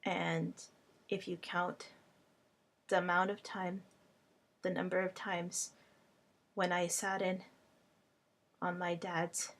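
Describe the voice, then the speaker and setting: Woman, native Hungarian speaker, language tutor; unhurried vlog monologue, halting short phrases. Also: on the low side, a bit bright.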